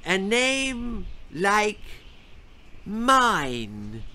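A man voicing a frail, senile old king who speaks in a slow, croaky, stammering way. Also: high voice, huge pitch swings, sharp tone, a little hiss or hum, pitched above the performer's own voice, heavily acted. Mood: croaky, stubborn. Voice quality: croaky, quavering.

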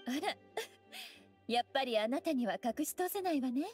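A woman voicing a graceful, teasing young scholar mage in an anime game, with elegant, playful, unhurried phrasing. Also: sits high, very animated, a little mellow. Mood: playful, pleased. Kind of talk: Japanese anime game voice acting. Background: music.

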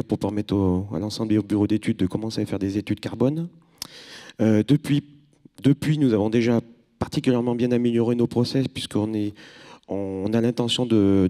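A middle-aged man speaking for his company on a convention panel into a handheld mic, in a measured corporate way with many fillers. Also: fairly low pitch, dark tone. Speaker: industry representative on a panel. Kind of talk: panel discussion. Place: convention stage, large hall.

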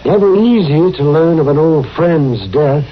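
A man's voice: fairly high pitch, expressive, a little slow. An actor playing a sympathetic townsman receiving a visitor in an old radio western, hesitant and kindly. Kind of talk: 1950s-60s radio western acting. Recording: very noisy, dull old radio recording.

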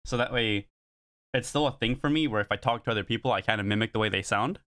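A young Swedish man with a Swedish accent, streaming a competitive team game and firing off quick, repeated callouts over a headset. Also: lowish voice. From Sweden. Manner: quick repeated shouted callouts, then relaxed chat to viewers. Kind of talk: rapid team callouts during a competitive match. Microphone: gaming headset.

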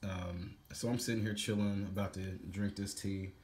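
A man's voice: fairly low pitch, fairly even intonation, moderate pace, darkish tone. A man in his forties making tea in his home kitchen, talking in a loose, rambling way to a phone camera, slightly congested. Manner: laid-back, rambling, says "man" and "bad boy" a lot. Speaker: home cook vlogger. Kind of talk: casual how-to vlog.

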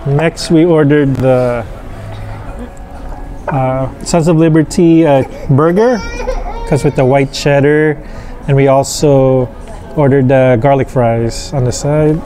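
A man in his thirties reviewing restaurant food in a casual, halting way, full of fillers, on an outdoor patio with a camera on the table. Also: mid-range voice, faint music behind. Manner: slow, relaxed, halting with many fillers. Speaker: food vlogger. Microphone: camera on table across from him.

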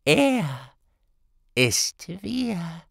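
Audiobook villain witch, played by a man: eerily tender, raised voice, extremely slow delivery. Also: fairly high pitch, very animated, gravelly, a bit bright, husky, dry recording, studio quality, voice pushed up, heavily acted. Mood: tender, sinister. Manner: almost tender, drawn-out. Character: tender, sinister masked witch, adult woman. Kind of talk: audiobook character dialogue.

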